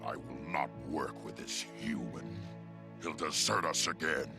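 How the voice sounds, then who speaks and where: A bitter, contemptuous beast warrior from a video game. The voice is rock-bottom low and thick with gravelly growl. Clear music plays behind the threatening delivery. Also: male voice, unhurried, exaggerated voice. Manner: menacing, contemptuous. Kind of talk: video game cutscene acting.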